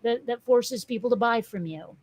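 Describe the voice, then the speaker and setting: A woman's voice: on the high side, normal speed, a little mellow, dry recording. Blunt woman co-hosting, dry close sound. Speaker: co-host. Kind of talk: casual livestream chat.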